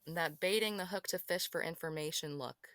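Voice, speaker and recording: Woman acting as a cool female detective, smooth clear voice, dry close sound, guarded and clipped. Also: sits low, normal speed, fairly bright tone, dry recording. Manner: crisp, guarded and dismissive. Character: cool, sharp-eyed female police detective. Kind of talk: amateur audio drama acting.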